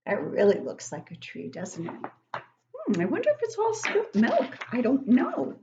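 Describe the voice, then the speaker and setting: An older woman reads a picture book aloud to young children like a teacher, expressively, pausing to ask them questions. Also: fairly high pitch, wildly swooping pitch, muffled recording, background noise. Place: living room at home, indoors.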